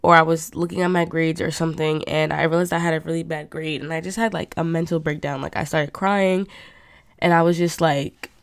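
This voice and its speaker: Nasal, stuffed-up young woman, low voice. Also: fairly even intonation, brisk, dry recording. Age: young adult. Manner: rambling, chatty, lots of fillers. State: stuffed up from a cold. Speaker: podcast host. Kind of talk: casual monologue.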